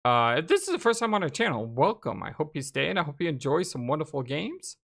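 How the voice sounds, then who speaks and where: A woman's voice: deep voice, big pitch swings, quick pace, gravelly. A woman chatting casually and expressively as she hosts a let's play, reading and commenting on a game. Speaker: let's play host reading visual novel lines aloud.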